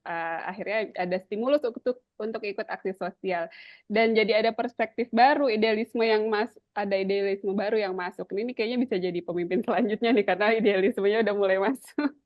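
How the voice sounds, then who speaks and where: A woman's voice: mid-range voice, no echo. A woman moderating an online discussion, affirming speakers and passing the floor. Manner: friendly, brisk, affirming. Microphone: video call. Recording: dull dry video call audio.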